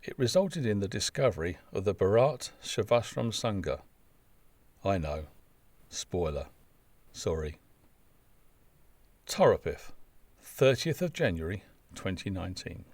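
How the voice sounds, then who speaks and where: A man's voice: low voice, big pitch swings, a little slow, long pauses, a little mellow, no echo. A man reading a scripted travel narration in a wry, wordy, descriptive manner. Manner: wry, dry-humoured, wordy descriptive delivery.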